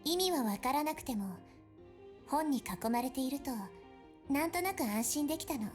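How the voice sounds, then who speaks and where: A woman's voice: sits high, expressive, fast talker. A woman playing a haughty, lonely young rich girl in a Japanese visual novel, with music behind her. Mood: wistful reminiscing. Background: background music.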